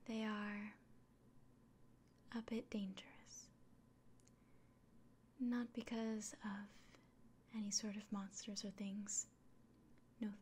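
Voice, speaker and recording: A woman playing a kindly, caring innkeeper in an ASMR fantasy roleplay, whispering gently and reassuringly. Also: medium pitch, a little hiss or hum, close to an everyday voice.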